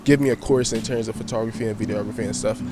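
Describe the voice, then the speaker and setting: A man's voice: lowish voice, steady tone, racing. A nineteen-year-old man, a youth media founder and poet, answering interview questions eagerly with many fillers. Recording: clear music playing behind.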